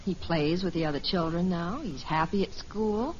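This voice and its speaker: An actress playing a warm, attentive middle-aged wife and mother in a 1950s radio sitcom, gently conversational. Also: lowish voice, moderate pace, natural voice. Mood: warm, curious. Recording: muffled old radio recording, faint hiss.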